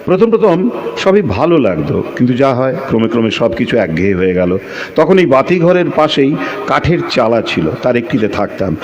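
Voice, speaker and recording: An actor playing a solitary, reflective lighthouse keeper in an audio play, narrating in literary with a measured, expressive delivery. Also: male voice, mid-range voice, a crowd in the background, close to an everyday voice. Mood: reflective, wistful. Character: solitary, reflective Bengali lighthouse keeper telling his story. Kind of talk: literary first-person dramatic narration.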